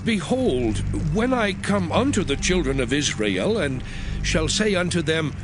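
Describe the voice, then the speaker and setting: A man acts out a humble, anxious prophet in a scripture drama. He speaks very slowly in a fairly high voice that rises and falls widely, earnest and hesitant, with music underneath. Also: sing-song. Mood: doubtful, pleading. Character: Moses, humble, anxious, doubting male prophet. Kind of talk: dramatized audio Bible.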